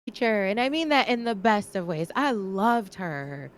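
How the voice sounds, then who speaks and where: A woman's voice: medium pitch, unhurried, slight background noise. A young adult woman co-hosting a casual podcast chat, talking freely and laughing often. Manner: chatty, lively, laughs often. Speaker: podcast co-host.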